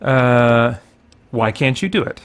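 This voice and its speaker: A man's voice: mid-range voice, lively intonation, slow, a bit bright, smooth. A middle-aged man hosting a gaming show, giving casual commentary and thinking aloud in a rambling way.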